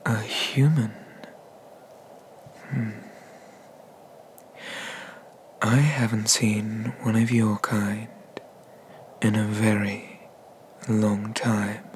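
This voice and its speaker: A man voicing an ancient, haughty and menacing dragon god of the sea in a breathy, pompous whisper for an ASMR roleplay. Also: lowish voice, a little hiss or hum. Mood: curious, looming. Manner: slow, pompous, condescending, with drawn-out pauses.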